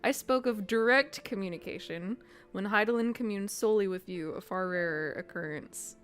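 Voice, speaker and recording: A woman's voice: medium pitch, moderate pace, clear, resonant tone. A woman streaming a game, giving casual commentary and reading bits of dialogue aloud.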